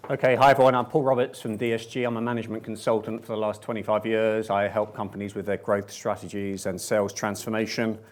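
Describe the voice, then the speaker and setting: A man with a low, level voice answers on a conference panel. He speaks in a measured way, pausing on fillers as he builds his points. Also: level tone. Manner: measured, hesitant with many fillers. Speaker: panelist, management consultant. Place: conference room with audience.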